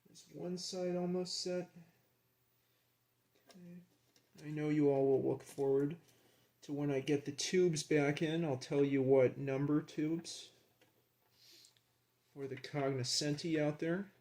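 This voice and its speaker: A man casually narrating as he works with his hands, in a creaky voice, absorbed and admiring, dropping murmured asides. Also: on the high side, fairly even intonation, takes it slowly, long pauses, warm tone. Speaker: hobbyist demonstrating a radio rebuild.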